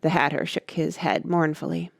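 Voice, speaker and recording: A woman's voice: low-pitched, flat delivery, moderate pace, gravelly, no room sound, studio quality. A woman reading scripted storybook narration as an audiobook narrator.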